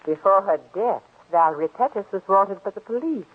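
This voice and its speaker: A woman's voice: medium pitch, lively intonation, a little fast, phone-quality sound, close to an everyday voice. Woman playing a cold, commanding older sister, old radio. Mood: probing, controlled. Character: cold scheming older sister, domineering and controlled. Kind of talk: 1940s radio drama acting. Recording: band-limited old radio recording.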